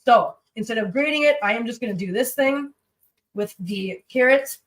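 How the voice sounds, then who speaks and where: A woman's voice: a little high, normal speed, recorded from a distance. A woman hosting a livestream from her home kitchen, chatting casually while cooking. She sounds congested and rambles, talking to her pets and viewers.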